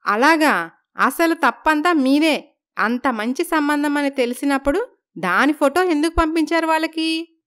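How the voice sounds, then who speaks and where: A woman's voice: high voice, measured pace, a bit bright, pitched above the performer's own voice. A woman voicing a resentful, spoiled young granddaughter who complains accusingly in a story.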